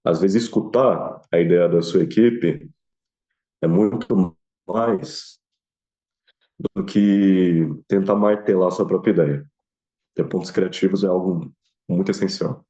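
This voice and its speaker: A man's voice: low voice, slow, pauses often, mellow. A young man with a Brazilian accent giving earnest, informal advice in an interview, repeating phrases for emphasis. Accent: Brazilian Portuguese. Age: young adult. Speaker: student filmmaker interviewee. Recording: slightly dull, lo-fi sound.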